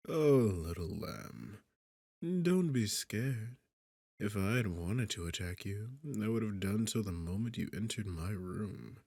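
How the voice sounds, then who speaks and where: A man's voice: lowish voice, expressive, slow pace, gravelly, a little mellow, no echo, exaggerated voice. A man playing an arrogant, sarcastic demon king in a seductive fantasy ASMR roleplay, with a smug, teasing, condescending manner. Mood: mocking, coaxing. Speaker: audio roleplay performer.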